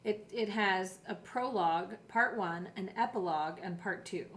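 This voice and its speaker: A woman's voice: mid-range voice, fairly bright tone. Chatty woman hosting a podcast. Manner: chatty, quick to laugh. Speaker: podcast host reading episode summaries and asking questions. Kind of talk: casual chat with read-out summaries.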